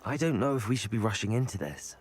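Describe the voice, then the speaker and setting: An actor playing a resolute, cautious young student adventurer in a video game, measured and earnest. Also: male voice, lowish voice, expressive, slight background noise, natural voice. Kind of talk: video game cutscene acting.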